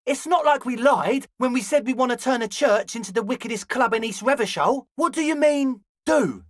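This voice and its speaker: A man's voice: very high-pitched, dry recording, clean studio sound. A male actor voicing a young, slangy club hustler in a video game, conciliatory and laid-back with a pleading manner. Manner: slangy, placating, drawn-out pleading. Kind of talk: video game character dialogue.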